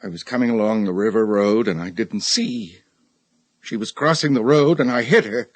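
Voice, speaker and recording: An actor playing a tormented middle-aged husband in a radio drama, confessing in a halting, heavy way. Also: male voice, fairly low pitch, no echo, close to an everyday voice. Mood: haunted, halting. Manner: halting, heavy, agonized delivery.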